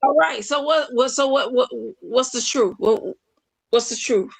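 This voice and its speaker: Brief: hoarse woman caller, raised pitch, slow and gravelly, argumentative. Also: no room sound. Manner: argumentative, drawn-out, dismissive. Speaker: caller on a live stream panel.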